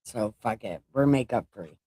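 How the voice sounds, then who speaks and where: A woman's voice: rumbling low, animated, moderate pace, mellow, no echo. A woman around forty who reads scripture to a live chat in a casual way, with profane, joking asides between passages. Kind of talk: casual read-along chat.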